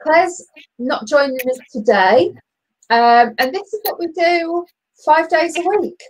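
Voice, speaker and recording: A middle-aged woman co-hosting an online knitting chat over a video call, chatty and laughing often, with a loud booming voice. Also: lively intonation, a little slow, rough recording. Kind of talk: casual chat. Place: bedroom at home, knitting. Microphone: laptop webcam on video call.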